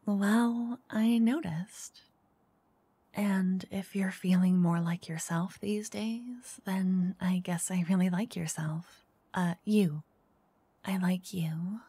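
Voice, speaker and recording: A woman doing a soft-spoken ASMR roleplay as a flirty dungeon master. She teases, then whispers close into each ear in turn. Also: fairly low pitch, fairly even intonation, slow pace, breathy edge, no room sound. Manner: teasing, flirty, switching between whispers and soft speech. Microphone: close binaural mic.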